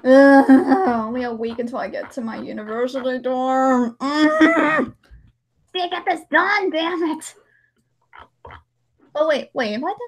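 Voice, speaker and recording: Young female streamer, high-pitched, giggly, informal and rambling. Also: lively intonation, unhurried, pauses often, slight background noise. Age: young adult. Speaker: livestream host and video editor. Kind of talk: casual livestream chat.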